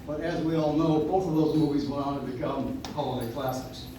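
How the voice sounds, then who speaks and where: A man's voice: on the high side, steady tone, fairly quick, distant mic. A man casually and informally introducing a live show on stage, recorded from the audience in an echoey room. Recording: echoey room from afar, clear noise.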